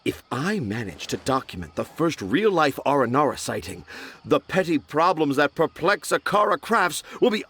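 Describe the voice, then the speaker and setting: An actor playing a self-important children's storyteller in a video game, speaking in flowery, theatrical phrases. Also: male voice, mid-range voice, fairly bright tone, slightly rough. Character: dramatic, self-important male children's storyteller with lofty dreams.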